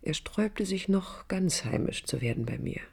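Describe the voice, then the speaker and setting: Yearning young woman, husky intimate voice. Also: lowish voice, animated, moderate pace, a little mellow, exaggerated voice. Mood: reflective. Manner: reflective, intimate, at times near whispering. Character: passionate, searching young woman architect, lovelorn. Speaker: radio drama actress, narrator and lead. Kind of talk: 1980s German radio play acting, intimate monologue. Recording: music under a studio radio recording.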